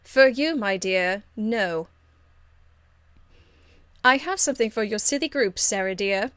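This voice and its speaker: A woman's voice: medium pitch, lively intonation, a little slow, fairly bright tone, no room sound. Haughty noblewoman mage for a fantasy game played by a woman: formal, condescending, icily courteous, dry close recording. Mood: cool disdain. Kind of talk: video game character acting.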